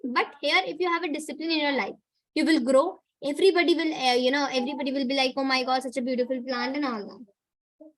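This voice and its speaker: A woman's voice: high voice, steady tone, quick pace, low-quality recording. A young woman teacher with an Indian English accent, giving students a chatty pep talk and checking in with "right?". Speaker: online teacher. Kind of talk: motivational talk to students in a live class.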